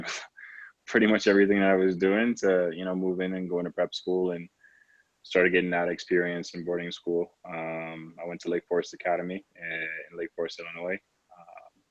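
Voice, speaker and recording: Guy late 20s from trinidad and tobago, real deep voice, reflective interview chat, roomy sound. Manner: reflective, hedging with frequent "you know". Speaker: podcast guest, professional basketball player. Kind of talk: conversational interview answers. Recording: roomy, slightly dull lo-fi audio.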